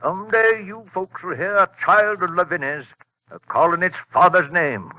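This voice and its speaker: An actor playing a sly, boastful, half-mad old wizard in a 1940s radio drama, speaking cryptic, folksy dialect. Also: male voice, sits high, normal speed, dry recording, lightly acted. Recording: muffled vintage 1940s radio recording.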